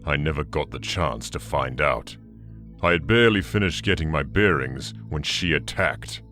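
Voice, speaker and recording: An actor playing an ancient, bitter demon conqueror in an audio drama, brooding and contemptuous in a menacing monologue. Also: male voice, rumbling low, bright, forceful tone, faint music behind. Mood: resentful. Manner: slow, menacing, brooding delivery.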